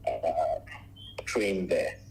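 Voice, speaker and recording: A man in his forties from Ghana, a radio guest telling his life story in a measured way, with many pauses and long lists. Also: sits low, darkish tone, slight background noise. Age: 40s. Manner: measured, frequent "uh" pauses, lists things at length.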